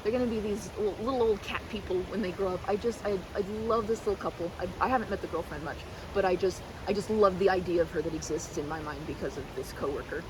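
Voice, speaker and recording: A woman's voice: lowish voice, quick pace, hardly any pauses, noisy background. A woman rambling casually and animatedly like a chatty best friend, slipping into mimicked quotes in a roleplay.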